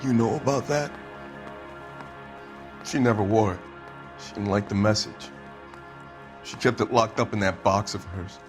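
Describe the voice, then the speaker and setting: An actor playing a defensive, hot-tempered husband under police questioning in a crime video game, answering bluntly. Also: male voice, fairly low pitch, unhurried, long pauses, soft background music, natural voice. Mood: wary, subdued. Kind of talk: acted dramatic video game dialogue.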